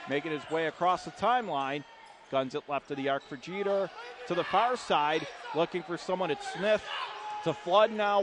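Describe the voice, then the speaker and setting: Guy doing radio basketball play by play, high voice, animated, short punchy phrases. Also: background noise. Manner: animated, calls action in clipped bursts. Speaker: play-by-play commentator. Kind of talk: live basketball radio commentary. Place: indoor basketball arena.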